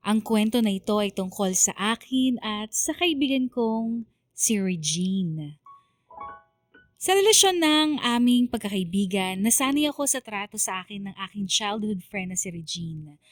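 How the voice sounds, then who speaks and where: A woman's voice: medium pitch, animated, unhurried, no room sound. A woman with a Filipino accent narrating a scripted radio story in an earnest, sentimental way.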